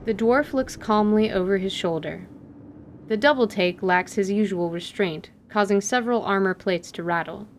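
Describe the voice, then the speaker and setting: A woman narrates an audio drama from a script. Her voice is mid-pitched, and the recording carries clear noise and a low rumble. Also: normal speed.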